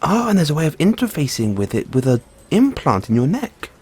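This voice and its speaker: A man playing an excitable, affectionate mad scientist boyfriend in an intimate audio roleplay, musing haltingly to himself and his partner. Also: fairly high pitch, slightly rough, heavily acted. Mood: fascinated, geeky. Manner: musing, halting, chuckling asides, drawn-out reactions.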